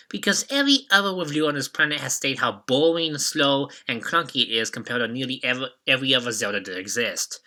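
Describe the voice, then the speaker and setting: Bright-voiced man hosting retro game commentary. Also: mid-range voice. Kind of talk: scripted commentary with casual asides.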